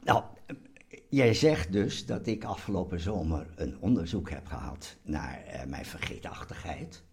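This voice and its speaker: A man talking casually in a halting way, rephrasing as he goes. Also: deep voice, animated, vocal fry, darkish tone. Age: 80s. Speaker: host's father, interviewee. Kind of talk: casual conversation.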